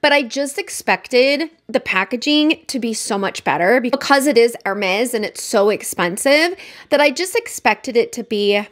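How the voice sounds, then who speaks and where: A woman's voice: a little high, animated, a little slow. A young woman reviewing makeup in a casual, chatty way, her voice nasal and congested from a cold. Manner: chatty, upbeat, talks straight to viewers. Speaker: beauty vlogger.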